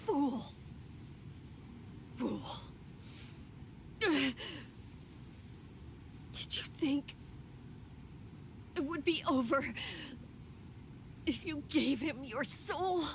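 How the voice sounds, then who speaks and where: A woman voicing a stern, composed female spirit warrior in an anime game dub, with formal, measured and commanding delivery. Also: sits high, lively intonation, a little hiss or hum, heavily acted. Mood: scolding, strained. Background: background music.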